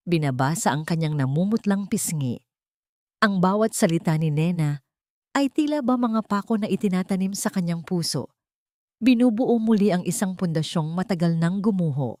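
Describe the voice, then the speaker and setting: A woman's voice: on the low side, darkish tone, dry recording, clean studio sound. A woman with a Filipino accent reading a dramatic love story as a narrator, solemn and emotive. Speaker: narrator of audio drama stories.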